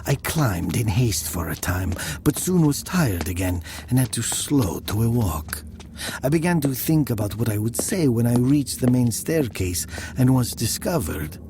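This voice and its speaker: An actor playing a brooding murderer who narrates his own dark fable in a measured, dramatic manner. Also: mid-range voice, very animated, noisy background. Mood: somber, haunted. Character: brooding male village murderer recounting his dark tale.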